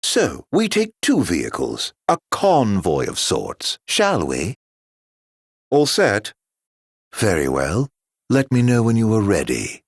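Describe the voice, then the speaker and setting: An actor voicing a sly, mock-courteous villain in a video game, with a florid, teasing, theatrical drawl. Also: male voice, lowish voice, husky, no echo, clean studio sound, exaggerated voice.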